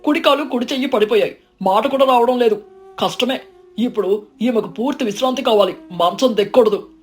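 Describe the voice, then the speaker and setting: A woman's voice: fairly high pitch, brisk, soft background music, natural voice. A woman voicing a grave, authoritative male village physician in a story, giving solemn instructions.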